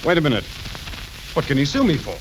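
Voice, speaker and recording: A man's voice: fairly high pitch, wildly swooping pitch, fast. A man playing an anxious, easily flustered businessman in a 1930s radio comedy. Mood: worried. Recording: crackly, hissy 1930s radio recording.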